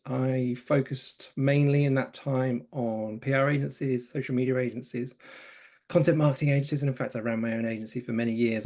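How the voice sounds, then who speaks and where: Middle-aged man, mid-pitched voice, communications trainer, relaxed, rambling, corrects himself. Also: gravelly. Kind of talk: casual self-introduction.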